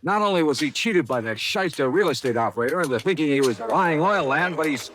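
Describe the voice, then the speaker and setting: An actor playing a brisk, wry, tough investigator who narrates a 1950s radio detective drama. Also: male voice, a little high, animated, a bit bright, a little hiss or hum. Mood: wry narrating. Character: brisk hard-boiled male insurance investigator, wry and tough. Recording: old radio recording with music.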